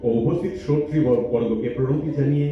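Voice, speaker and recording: A man's voice: on the high side, fast talker. A man making a formal opening announcement for a stage performance. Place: multipurpose hall, live event. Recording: echoey hall, distant muffled mic, music behind. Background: music.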